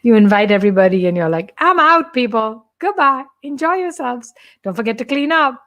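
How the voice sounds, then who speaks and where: A woman's voice: a little high, expressive, brisk, fairly bright tone. Chatty woman with an Indian accent. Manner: chatty, playful, jokey asides to viewers. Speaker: church staff host of a live stream. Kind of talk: casual live-stream chat.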